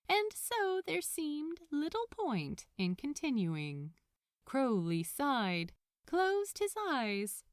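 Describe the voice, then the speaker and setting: A woman's voice: mid-range voice, dry recording, clean studio sound. A woman reading fiction aloud as a scripted story narrator. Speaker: podfic narrator.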